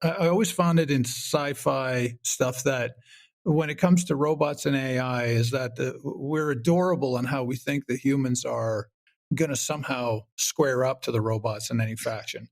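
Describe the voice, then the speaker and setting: A man from Canada talking casually as an interview guest, animated and rambling, acting out his own thoughts as he goes. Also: mid-range voice, moderate pace. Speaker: audio comedy creator, podcast guest.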